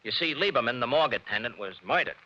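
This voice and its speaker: Brief: needling tough-guy detective, 1940s radio drama, bright and lo-fi. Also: medium pitch, expressive, fast. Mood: brisk. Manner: cocky, needling. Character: wisecracking tough male detective.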